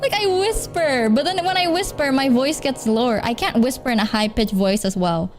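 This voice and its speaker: Giggly high-voiced woman. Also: expressive, moderate pace, soft background music. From Indonesia. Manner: rambling, giggly, leans on filler words. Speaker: streamer. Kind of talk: casual chat.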